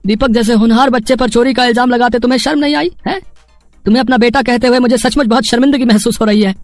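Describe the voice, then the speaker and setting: A man's voice: very high-pitched, fairly even intonation, fast, no room sound. A man acts a wealthy cartoon father, stern and weighed down by shame. His delivery is formal and grave, dry, with music behind. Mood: ashamed, stern.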